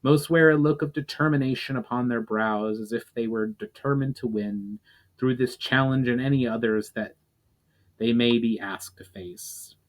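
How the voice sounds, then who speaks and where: A man reading a fantasy story aloud as dramatic narration, deliberate and occasionally stumbling over words. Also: medium pitch. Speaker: writer reading own novel aloud.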